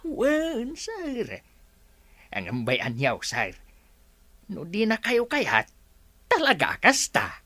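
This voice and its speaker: A woman's voice: mid-range voice, huge pitch swings, slow pace, pauses often, a bit bright, no room sound, full character voice. A woman playing a flustered, gossipy servant in an Ilocano comedy radio drama, exclamatory and whining. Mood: nervous, deferential.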